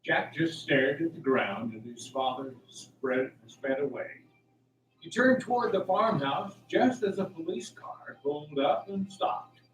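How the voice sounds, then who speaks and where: Male radio play narrator, animated delivery, recorded off-mic in an echoey room. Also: fairly high pitch, unhurried. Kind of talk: scripted radio drama narration. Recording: echoey room, distant mic, faint hiss.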